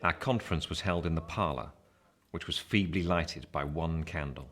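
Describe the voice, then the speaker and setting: An actor playing a reflective gentleman who narrates his youth in a literary audio drama, in a low, husky, measured voice.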